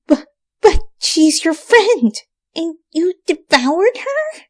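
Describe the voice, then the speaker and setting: A woman's voice: very high-pitched, sing-song, slow, no echo, studio quality, voice pushed up, exaggerated voice. A woman voicing an earnest, bossy young female pony scholar in a story reading, articulate and scolding. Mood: shocked, distressed.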